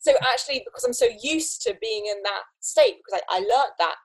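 A young woman in casual conversation, animated, often restarting her sentences and laughing between thoughts. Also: on the high side, fairly quick, rough recording. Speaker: guest.

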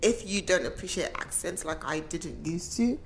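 A young woman co-hosting a chat podcast, with a mixed Nigerian and British accent, animated and laughing often. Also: lowish voice, moderate pace, creaky, a little hiss or hum. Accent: Nigerian and British mix. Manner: animated, frequent laughter, emphatic asides. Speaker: podcast co-host. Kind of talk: casual chat.

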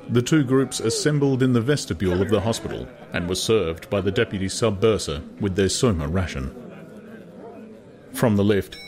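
A man reading a novel aloud as narrator, in dramatized, scripted literary storytelling. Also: deep voice. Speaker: audiobook narrator.

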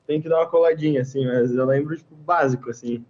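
A young man with a Porto Alegre accent, a guest chatting in an excited, slangy way. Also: medium pitch, quick pace, highs cut off. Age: young adult. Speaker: interview guest. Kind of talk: casual chat.

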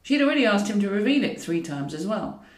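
Female audiobook reader for comic fiction: dry irony, animated pitch movement, recorded roomy and slightly distant. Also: fairly quick. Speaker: author reading own novel. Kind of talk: scripted narration of a comic novel. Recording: roomy echo, distant from mic.

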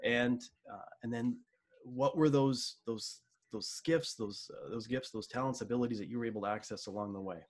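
A man's voice: mid-range voice, moderate pace. A man hosting a podcast interview, asking long, rambling questions full of fillers and restarts. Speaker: podcast host.

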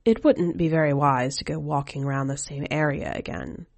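A woman's voice: low-pitched, steady tone, normal speed, dry recording. A woman reading a scripted first-person short story as a podcast narrator. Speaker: podcast editor and story reader.

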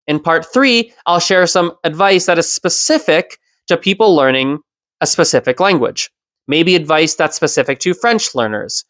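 A man in his thirties hosting his own solo podcast, talking in a reflective, explanatory way with the odd soft laugh. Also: a little high, lively intonation, moderate pace, dry recording, clean studio sound. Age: 30s. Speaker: podcast host.

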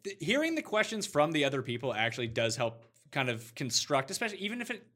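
A man hosting a casual sports talk show, chatting in an animated, slangy and joking way. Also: a little high, fast. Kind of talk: casual fantasy football talk show banter.

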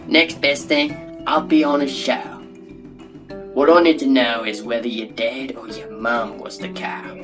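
A man acts out a brash wildlife wrangler on a comedy show. He boasts and rambles in matey slang at a middling pitch, with music clearly behind him. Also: level tone, measured pace, close to an everyday voice. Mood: boastful. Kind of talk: comedy talk-show guest bit.

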